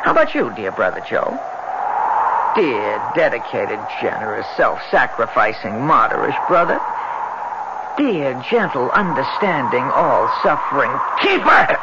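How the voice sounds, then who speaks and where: A man's voice: medium pitch, sing-song, unhurried. Volatile, taunting madman on old radio. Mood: mocking. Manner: theatrical, mocking, erratic. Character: unhinged gunman brother, taunting, mocking, volatile, childishly wounded. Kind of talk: 1970s radio drama acting. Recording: dull, very noisy vintage 1970s radio recording.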